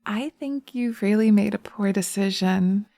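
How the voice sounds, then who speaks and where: A woman voicing a sweet, dreamy romantic witch in a roleplay audio drama, cooing gently and dotingly. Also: medium pitch, unhurried, no room sound, clean studio sound, close to an everyday voice. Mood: playfully ominous.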